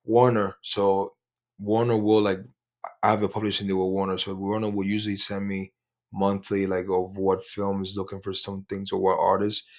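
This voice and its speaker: A man's voice: deep voice, completely flat delivery, normal speed. A man co-hosting a casual podcast, rambling and repeating words, with bits of Spanish mixed in. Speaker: podcast co-host. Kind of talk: casual chat.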